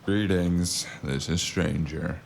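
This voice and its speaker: A man playing a sardonic, world-weary cyberpunk investigator in an audio drama, narrating in first person with a gravelly, wry delivery. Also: sits low, takes it slowly, a little hiss or hum, heavily acted. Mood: guarded, tired. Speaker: narrator and lead character.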